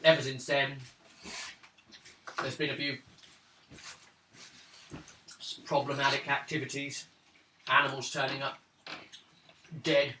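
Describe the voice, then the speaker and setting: A man's voice: medium pitch, flat delivery, slow pace, long pauses, background noise, close to an everyday voice. A man voicing a friendly, easygoing village innkeeper in a tabletop game, explaining things, on a distant roomy recording. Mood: friendly, matter-of-fact.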